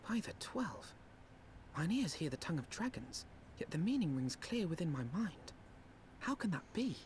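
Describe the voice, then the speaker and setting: Young noble envoy: slightly high voice, earnest, courteous, formal archaic fantasy game delivery. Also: male voice, big pitch swings, normal speed, a little mellow, slight background noise. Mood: astonished. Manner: formal, eloquent, archaic diction. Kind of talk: dramatic fantasy game acting.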